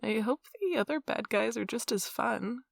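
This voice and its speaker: An affectionate, nerdy young girlfriend , acted by a woman, murmurs in a breathy, lightly creaky voice, recorded very close and dry. She is tender and playfully teasing, rambling softly as in a cozy bedtime ASMR role-play. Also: fairly high pitch, normal speed, dry recording. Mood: affectionate, chatty. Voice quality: breathy, whispery.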